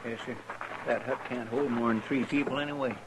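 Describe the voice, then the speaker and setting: A man's voice: medium pitch, fairly even intonation, brisk, lightly acted. A slightly noisy old radio western carries a man acting a simple, deferential sidekick. His voice sits mid-range. He talks in plain, folksy questions and remarks. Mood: obedient. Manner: folksy, questioning rural speech. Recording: noisy vintage 1950s radio recording.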